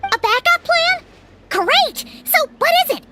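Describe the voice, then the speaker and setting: A woman voicing a tiny, excitable female fairy companion in a video game, bouncy and dramatic. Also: extremely high pitch, unhurried, very noisy, full character voice. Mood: chattering. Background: game soundtrack music.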